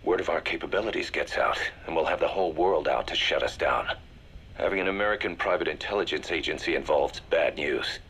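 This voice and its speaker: A man playing a grave, calculating intelligence briefer for mercenaries, measured and serious, in a video game audio drama. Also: rumbling low, a bit bright, husky, full character voice.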